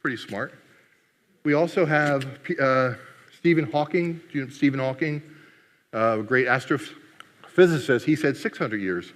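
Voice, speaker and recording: A man's voice: mid-range voice, unhurried, long pauses. A man giving a humorous keynote talk at a conference, joking with the audience. His first language is Greek. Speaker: conference speaker. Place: conference hall. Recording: long hall reverb.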